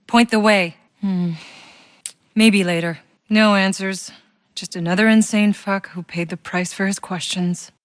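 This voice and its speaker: Video game heroine, played by a woman: seasoned fighter, tough and sardonic, low register, blunt wry delivery, close dry sound. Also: no echo, lightly acted. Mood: bitter, blunt. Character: tough, sardonic, battle-hardened female soldier, game protagonist. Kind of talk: video game character voice lines.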